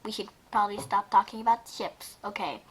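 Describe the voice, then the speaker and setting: A woman casually reading fan fiction aloud and reacting to it, excitable and informal, often breaking into giggles. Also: medium pitch, fairly quick, slightly rough, rough recording. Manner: giggly, often breaking into laughter while talking. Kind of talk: casual reaction reading of a fanfic, mixing story and commentary.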